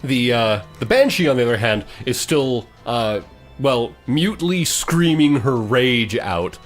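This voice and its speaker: A man running a tabletop role-playing game as game master, improvising descriptive narration in a halting way. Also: mid-range voice, lively intonation, soft background music.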